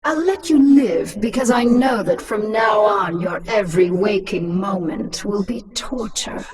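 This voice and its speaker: A woman's voice: medium pitch, unhurried, distant mic, a loud crowd, lightly acted. A woman playing a cruel, imperious alien swarm queen in a video game, commanding and taunting. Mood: gloating, scornful.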